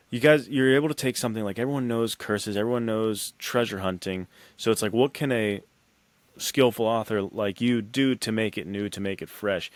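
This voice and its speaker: A man's voice: on the low side, dry recording. A man hosting a casual podcast interview, talking informally and voicing imagined remarks as he goes. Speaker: podcast host and writer.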